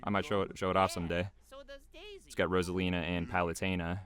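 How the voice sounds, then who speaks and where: A man's voice: sits low, little pitch movement, fast talker, fairly bright tone. A man giving casual, unscripted behind-the-scenes commentary in a rambling, hesitant way.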